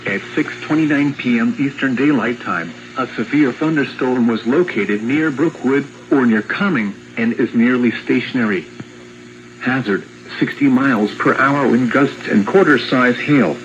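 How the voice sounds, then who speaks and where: A computer-generated male voice reading an automated emergency weather broadcast in an even, synthetic manner, played from a weather radio speaker. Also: mid-range voice, normal speed, dull audio, slight background noise. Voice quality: synthetic, evenly paced computer voice.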